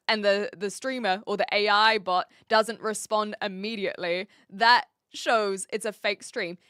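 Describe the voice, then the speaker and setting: A woman's voice: mid-range voice, pushed and bright, clear, resonant tone, no echo, clean studio sound. A woman from New Zealand streaming live and chatting casually with viewers, rambling a little with restarts and fillers.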